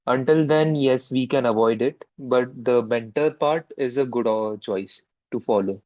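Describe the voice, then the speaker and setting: A man in an online meeting, briefly stating his view in a measured way over a slightly dull lo-fi mic. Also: mid-range voice, darkish tone, dry recording. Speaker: community member. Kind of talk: meeting discussion. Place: video call.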